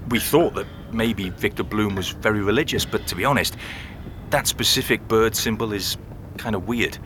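Low-voiced, thoughtful restorer played by a man. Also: a bit bright, natural voice. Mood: intrigued. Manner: unhurried, explanatory, conversational with hesitations. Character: friendly, thoughtful male music-box restorer, fascinated by mysteries. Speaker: actor playing an interviewee. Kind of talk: naturalistic audio drama dialogue.

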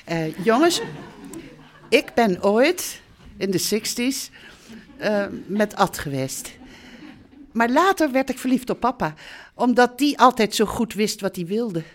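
An actress playing a free-spirited older mother in a radio drama, earnest, placating and gently stubborn. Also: a little high, lively intonation, slow pace, long pauses. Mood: earnest, soothing. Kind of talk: Dutch radio drama acting.

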